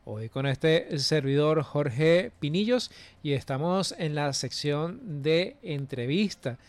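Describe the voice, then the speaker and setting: A man's voice: on the high side, expressive, slow pace, no echo. A man hosting a radio news program, interviewing a guest in a polished, announcer-like way. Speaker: radio host.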